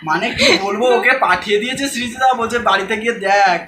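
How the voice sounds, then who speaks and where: A man's voice: high voice, fast, noisy background. A young man with a West Bengali accent, chatting casually as a prank vlogger in a home recording. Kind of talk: casual chat to camera and on calls. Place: indoors at home.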